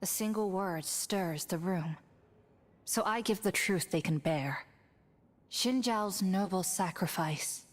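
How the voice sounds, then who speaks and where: A woman playing a solemn female warrior who brings grave news of a fallen comrade, with measured, formal delivery in a motion comic. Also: mid-range voice, close to an everyday voice. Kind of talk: scripted dramatic narration in a motion comic. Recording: music under the voice. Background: music.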